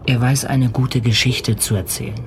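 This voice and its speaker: An actor playing a calm, authoritative ship's captain in a radio play, courteous and reflective. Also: male voice, medium pitch, moderate pace, slight background noise, close to an everyday voice. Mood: composed, firm. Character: composed, authoritative male ship's captain on polar voyage.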